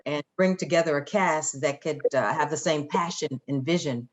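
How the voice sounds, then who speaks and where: A woman's voice: low-pitched, moderate pace. Playful woman hosting a live interview. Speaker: radio interview host. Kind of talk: casual, chatty live interview.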